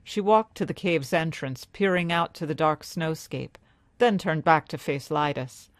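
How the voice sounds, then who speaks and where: A woman storyteller reading scripted fantasy narration in a measured, dramatic way. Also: low-pitched, no echo. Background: music.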